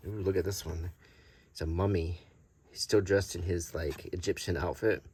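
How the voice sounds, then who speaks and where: A man presenting collectible cards in a casual, unscripted show-and-tell, halting and pausing often as he describes things. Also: sits low, steady tone, darkish tone, breathy edge. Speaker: host presenting vintage collectible game cards.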